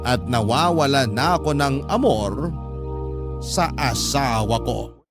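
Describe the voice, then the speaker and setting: A man's voice: medium pitch, lively intonation, takes it slowly. A man reading a first-person story dramatically as a radio storyteller. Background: background music.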